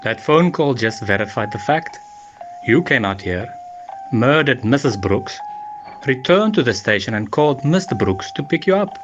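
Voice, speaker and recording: Through a noisy amateur recording comes a methodical police inspector, acted by a man in a radio murder mystery. He has a medium-pitched voice and speaks formally and deliberately, keeping calm as he works through the case. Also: muffled recording, natural voice. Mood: calmly certain.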